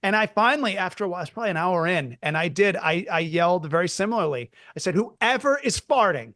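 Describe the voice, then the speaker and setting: A man's voice: sits high, expressive, fast, bright, forceful tone, no room sound, studio quality. A man co-hosting a comedy podcast, telling a rambling story with many restarts and repeated words. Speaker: podcast co-host. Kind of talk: casual comedic chat.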